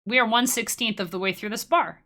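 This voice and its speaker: A woman's voice: fairly high pitch, brisk, pushed and bright, a little hiss or hum. A young woman casually teaching music-making on a live stream, rambling and self-deprecating with playful asides.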